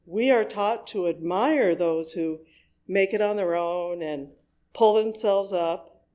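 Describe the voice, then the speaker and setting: A worship leader reading a scripted service in a measured, deliberate way with pauses between phrases. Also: medium pitch, darkish tone.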